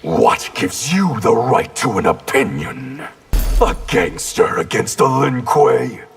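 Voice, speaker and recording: An actor playing a stern, proud and hostile ninja clan master in a video game. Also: male voice, medium pitch, sing-song, slow, vocal fry, soft background music, full character voice. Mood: proud scorn.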